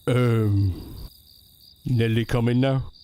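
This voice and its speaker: A man playing a huge, slow-witted, polite and childlike helper in an audio drama, speaking in halting broken sentences. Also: medium pitch, fairly even intonation, warm tone. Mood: earnest. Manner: halting, simple broken speech.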